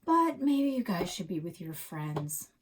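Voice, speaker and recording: A woman voicing an earnest, easygoing, soft-hearted father who is easily swayed, in a storybook. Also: lowish voice, very animated, a little slow, breathy edge, heavily acted.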